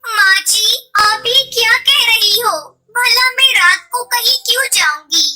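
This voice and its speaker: Squeaky pleading young bird. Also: female voice, takes it slowly, sharp tone, faint music behind, full character voice. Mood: pleading, protesting. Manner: pleading, earnest. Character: young female bird, sensible wronged twin sister.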